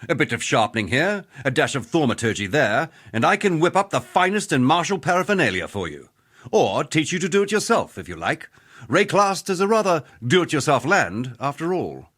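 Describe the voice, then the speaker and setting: A man's voice: mid-range voice, a bit bright. A man playing a cocky, jovial middle-aged mercenary weapon master in a video game, with wry, boastful, roguish banter. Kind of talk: scripted game character dialogue.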